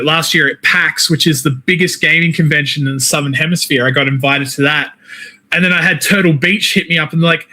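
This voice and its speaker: An Australian man in a casual interview, with a fairly high, bright and forward voice. He is chatty and informal, speaking in a loose, conversational flow. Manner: chatty, peppers talk with "man" and "like". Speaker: interview guest, wrestler and game streamer.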